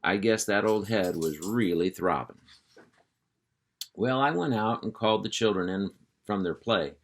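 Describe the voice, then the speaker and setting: A man's voice: lowish voice, unhurried, a little hiss or hum. A man reading a children's chapter book aloud in an easygoing, folksy storytelling manner. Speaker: reader.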